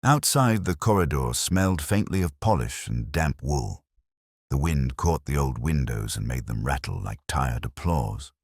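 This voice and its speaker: Very deep-voiced man narrating a detective story. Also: animated, darkish tone, breathy edge, no room sound, studio quality. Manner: measured, literary, reflective. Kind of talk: scripted first-person detective story narration.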